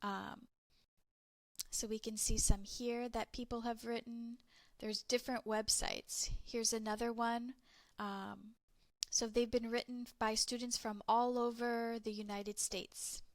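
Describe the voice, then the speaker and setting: A woman teacher giving a recorded lesson to English learners, explaining slowly and clearly step by step. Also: a little high, flat delivery, dry recording.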